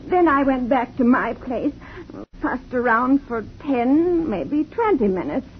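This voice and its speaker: Flustered tearful spinster, high and fussy, old radio sound. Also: female voice, expressive, takes it slowly, slight background noise. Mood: distraught. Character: fluttery distraught older spinster friend. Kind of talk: 1950s radio drama acting. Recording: dull lo-fi 1950s radio broadcast.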